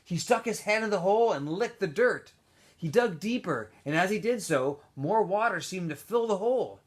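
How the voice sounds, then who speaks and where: A man, a teacher, reading a novel aloud for students, with expressive delivery, small asides and self-corrections. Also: sits high, moderate pace. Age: adult.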